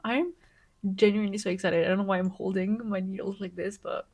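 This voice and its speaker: Congested, excitable woman with a cold. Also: medium pitch, fairly quick. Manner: gushing and excitable, often dropping to a soft whisper. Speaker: knitting vlogger. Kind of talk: casual vlog chat to camera.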